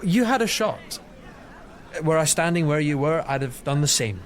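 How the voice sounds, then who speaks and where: An actor playing a modest, deferential young knight in an audio drama, with a halting, polite delivery. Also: male voice, a little high, expressive, a faint crowd, slight background noise. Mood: embarrassed. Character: hesitant, deferential young knight, guarded and awkward.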